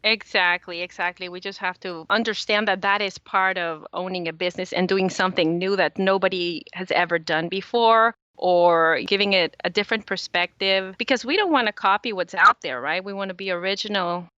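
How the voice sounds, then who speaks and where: A woman's voice: medium pitch, fairly quick, few pauses, bright, forceful tone, clean tone, no room sound. A woman from El Salvador, a native Spanish speaker, giving earnest, upbeat answers as a guest in a conversational interview. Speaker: podcast guest, small business owner. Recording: slightly dull, lo-fi audio.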